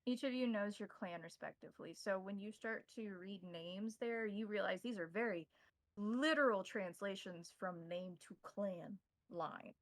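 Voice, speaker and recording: A woman running a tabletop roleplaying game as game master, narrating in a measured, formal way with deliberate wording. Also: medium pitch, dry recording.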